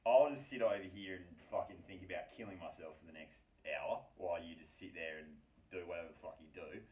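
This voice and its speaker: A man's voice: sits low, fairly quick, a little mellow. A man casually telling a story about himself in a slangy, sweary, wry and self-mocking way. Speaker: storyteller, host of his own story series. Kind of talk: casual first-person storytelling. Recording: echoey room, distant from mic.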